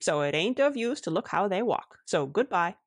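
A woman voicing a shrewd, good-natured, calm housewife who speaks wryly in foreign-tinged broken syntax. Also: fairly low pitch, moderate pace, husky, no room sound, clean studio sound.

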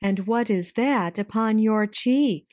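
Woman reader doing slow solemn gentle ghost, dry close sound. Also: fairly high pitch, dry recording. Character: gentle, solemn spirit of the past.

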